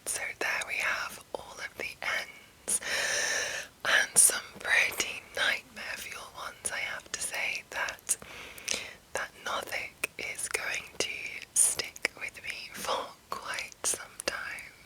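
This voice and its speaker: A woman whispering in a breathy, unvoiced voice as an ASMR host, with careful, gentle enunciation. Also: slow pace, low-quality recording. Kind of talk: whispered ASMR, reading and chatting.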